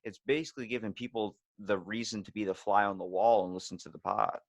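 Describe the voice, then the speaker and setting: Male podcast host, lowish voice, informal and sweary, rambles through anecdotes. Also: steady tone, fast talker, creaky. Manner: rambling, quotes himself in anecdotes. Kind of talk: casual, profane conversation.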